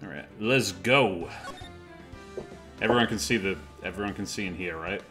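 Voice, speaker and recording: Male stream host, low voice, casual and dryly sarcastic, game music underneath. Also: moderate pace. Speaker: host of a group live dub stream.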